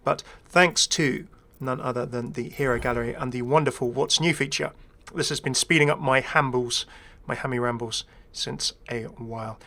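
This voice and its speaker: A man's voice: medium pitch, steady tone, moderate pace, few pauses, sharp tone. Chatty, enthusiastic man rambling through game commentary. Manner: chatty, enthusiastic, self-deprecating. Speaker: gaming video creator. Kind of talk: casual rambling first-impressions game commentary.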